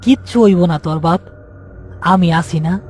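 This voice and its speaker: A man's voice: fairly high pitch, a little slow, darkish tone, a little hiss or hum, natural voice. A man voicing an anxious, protective young uncle in a cartoon, speaking colloquially with a Bangladeshi accent. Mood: tender concern. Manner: tender, worried, colloquial. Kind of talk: horror cartoon character acting.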